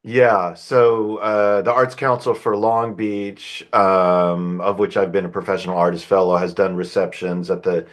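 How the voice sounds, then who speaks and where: A middle-aged man with a somewhat low voice talks easily as a guest on an interview show. He is a composer and speaks casually, with fillers and restarts as he thinks. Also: unhurried, few pauses. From United States. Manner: relaxed, chatty, with fillers and restarts.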